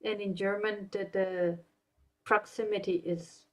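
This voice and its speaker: A woman's voice: mid-range voice, fairly even intonation, a little slow. Woman musing thoughtfully in a call. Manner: thoughtful, restarts mid-sentence. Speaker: discussion participant. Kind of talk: live podcast group discussion.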